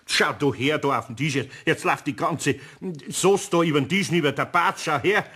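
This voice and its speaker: A man's voice: a little high, moderate pace, sharp tone, husky. A man playing an older, good-natured master carpenter in a German children's radio play, grumbling and sighing in a Bavarian accent. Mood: flustered, scolding. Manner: grumbling, sighing, repeating protests.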